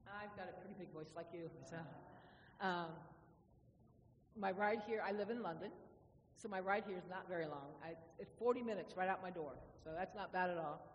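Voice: mid-range voice, a little fast, lo-fi sound. A middle-aged or older church music director making informal remarks to a congregation. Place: inside a church. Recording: distant, noisy, reverberant church hall.

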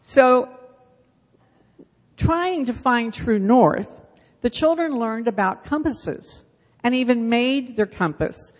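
A woman's voice: fairly high pitch, slow pace, long pauses. Chatty middle-aged woman in a reverberant church. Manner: chatty, self-deprecating storytelling. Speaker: church Bible school leader. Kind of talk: casual church announcement and talk. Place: church sanctuary. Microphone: handheld microphone. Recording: long reverb of a church hall.

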